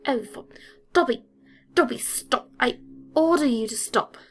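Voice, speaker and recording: A woman reading the part of an earnest, protective young wizard boy in an audiobook, with a measured, firm manner. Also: sits high, a little hiss or hum, voice pushed up. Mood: exasperated, commanding.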